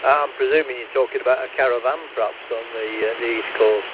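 A man's voice: medium pitch, fairly even intonation, quick pace. An older man with a Lancashire accent, chatting casually and rambling as a ham radio operator outdoors by the sea. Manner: rambling, chatty, self-deprecating. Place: outdoors on a seafront promenade. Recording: tinny radio with hiss.

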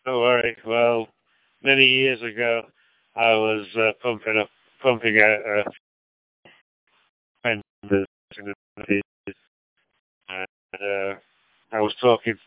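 An older man with a Lancashire accent, an amateur radio operator chatting in an easygoing, halting way over a radio link. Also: lowish voice, flat delivery, smooth, dry recording. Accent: Lancashire English. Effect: two-way radio. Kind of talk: casual radio chat with anecdotes and call signs. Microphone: radio transceiver microphone. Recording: phone-like digital radio gateway link.

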